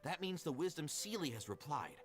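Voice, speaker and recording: An actor playing a helpful, matter-of-fact scholar in a video game, explaining things articulately. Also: male voice, on the high side, very animated, fairly quick. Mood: instructive. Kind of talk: video game character dialogue.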